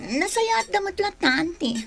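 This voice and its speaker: A woman's voice: very high-pitched, sing-song, moderate pace, creaky, fairly bright tone, full character voice. A woman playing a sulky, gossipy young woman in a radio drama, with an Ilocano accent. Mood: gossipy, aggrieved. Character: sulky young woman who badmouths her older sister.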